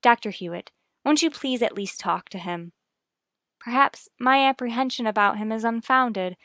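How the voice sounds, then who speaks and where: A woman's voice: a little high, moderate pace, sharp tone, dry recording. An actress playing an anxious but determined young married mother in a vintage radio soap, speaking formally and politely in a dry recording. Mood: pleading, insistent.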